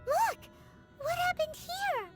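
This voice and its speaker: A woman voicing a tiny, excitable, childlike fairy girl companion in a video game, with a squeaky voice. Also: slow, full character voice. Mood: alarmed. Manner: excitable, exclamatory, speaks of self in third person. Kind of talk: animated video game voice acting. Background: background music.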